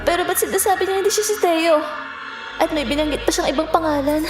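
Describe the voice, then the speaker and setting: A high-pitched, girlish Filipina student voiced by an actress, sweet, earnest and easily hurt. She speaks in audio drama style, with music playing behind her. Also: fast. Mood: shaken, baffled. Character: Tanya, sweet lovestruck young female student, easily hurt. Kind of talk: Tagalog audio drama acting.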